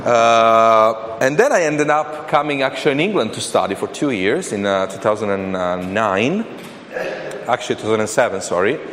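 A man's voice: on the low side, expressive, normal speed, a bit bright. A man from Italy with an Italian accent preaching a sermon as a guest speaker, speaking hesitantly with frequent fillers and restarts. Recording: reverberant hall with faint ambient noise.

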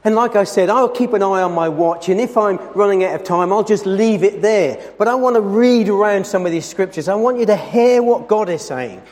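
Man, preacher, loud voice, emphatic, lingers on scripture, reverberant church hall. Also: high-pitched. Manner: earnest, emphatic, slows on scripture. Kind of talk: sermon.

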